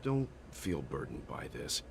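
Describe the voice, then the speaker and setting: An actor playing a calm older male companion in a game, speaking in a measured, reassuring way. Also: low voice. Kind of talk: game voice acting. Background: game music.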